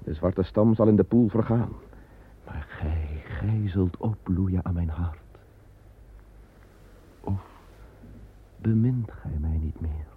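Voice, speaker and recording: A man's voice: low-pitched, slow, pauses often. A man playing a commanding yet tender king who soothes his frightened beloved, in an old radio drama. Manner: soothing, solemn, archaic speech. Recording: muffled old radio recording, faint hiss.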